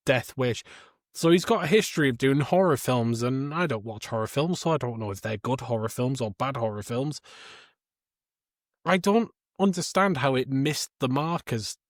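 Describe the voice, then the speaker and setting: A man's voice: mid-range voice, no echo, clean studio sound. A man co-hosting a podcast, giving his opinions on films in casual chat. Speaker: podcast co-host. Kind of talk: casual film review chat.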